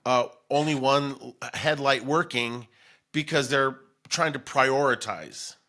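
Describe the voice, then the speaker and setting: A man's voice: medium pitch, slow, sharp tone. A middle-aged American man hosting a podcast, chatting casually in a rambling, conversational storytelling way. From Denver, United States. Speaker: podcast host. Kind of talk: casual chat.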